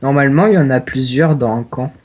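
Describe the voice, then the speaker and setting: A young man with a cold chatting slowly and crudely while gaming, hesitant with fillers. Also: medium pitch, a little mellow. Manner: slow, hesitant, crude banter. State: stuffy, has a cold. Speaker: gaming guest. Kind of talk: casual gaming chat.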